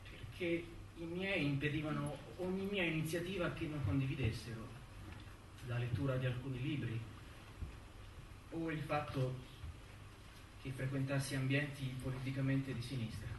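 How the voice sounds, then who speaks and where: An actor playing a wounded young man committed to an asylum by his family, speaking in deliberate, theatrical phrases with pauses. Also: medium pitch, fairly even intonation, background noise, lightly acted. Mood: restrained, defiant. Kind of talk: stage monologue acting. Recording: roomy distant stage recording with music. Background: music.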